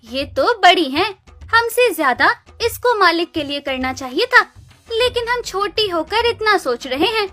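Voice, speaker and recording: Woman as a spiteful younger sister cow, very high piercing voice, bright edge, scornful, cartoon story, music underneath. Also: lively intonation, unhurried, exaggerated voice. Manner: taunting, scornful. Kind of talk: Hindi animated moral story dialogue. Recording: music behind the voice.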